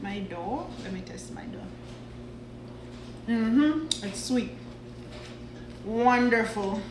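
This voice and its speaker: A woman's voice: on the high side, lively intonation, extremely slow. Chatty Ugandan-raised woman baking at home. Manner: chatty, thinks aloud while working. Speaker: baking vlogger. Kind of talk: casual kitchen vlog talk. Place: home kitchen. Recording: roomy kitchen with background noise.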